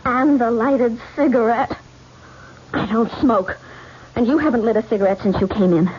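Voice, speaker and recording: Actress doing anxious young woman in a 70s radio play, highish voice, dull hissy recording. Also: normal speed, darkish tone, natural voice. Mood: shaken, despairing. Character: anxious, frightened young woman leaving her fiancé. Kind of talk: 1970s radio drama acting.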